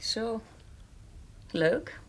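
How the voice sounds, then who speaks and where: An actress playing a practical, self-assured and reserved woman in a naturalistic radio drama, with a husky voice. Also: drawn-out words. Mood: polite, reserved.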